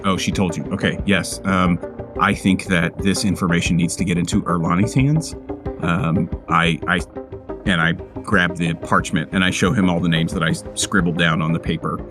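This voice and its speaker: A man with a very low voice improvises in character at a tabletop game. He speaks haltingly, searching for his words, over clearly audible music. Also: fairly even intonation. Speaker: player in a tabletop roleplaying game. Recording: music playing behind the voice.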